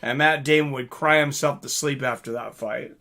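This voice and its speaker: A man's voice: mid-range voice, steady tone, normal speed, bright, forceful tone. A man hosting a live stream in a casual, rambling, mildly profane way, chatting and answering viewer comments.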